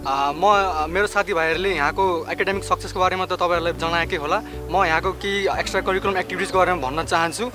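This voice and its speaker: Very fast young man from Nepal. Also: high-pitched, over music. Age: young adult. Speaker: medical student. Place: outdoors on campus.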